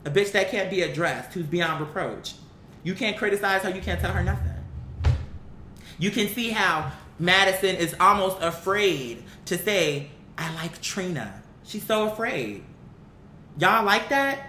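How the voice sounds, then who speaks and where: A woman's voice: expressive, a little slow, pushed and bright. Blunt American woman in an echoey room. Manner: blunt, emphatic, slangy, with rhetorical questions and acted-out quotes. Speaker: vlogger, commentary host. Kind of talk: opinionated casual commentary to viewers. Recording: roomy echo with faint rumbling noise.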